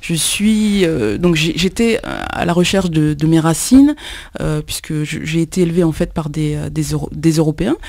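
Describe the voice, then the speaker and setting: A woman from Kinshasa, a musician guesting on a radio show, talking in a halting way with many pauses and repeated words. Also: low-pitched, animated, darkish tone, no room sound, studio quality. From Congo, Kinshasa. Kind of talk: radio interview, hesitant conversational speech.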